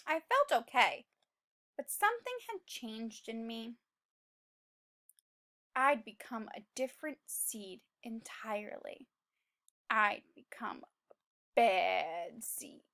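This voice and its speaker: A woman's voice: a little high, sing-song, slow, long pauses, sharp tone, pitched below the performer's own voice, full character voice. A woman voicing a tiny, gloomy male sunflower seed who is trying to reform, in a dramatic, confessional storybook read-aloud. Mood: gloomy, brooding. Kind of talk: children's picture book read-aloud.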